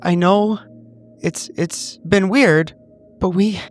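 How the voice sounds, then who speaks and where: A man's voice: sits high, steady tone, takes it slowly, faint music behind. A man playing a desperate, regretful man begging an old lover back, stammering and pleading.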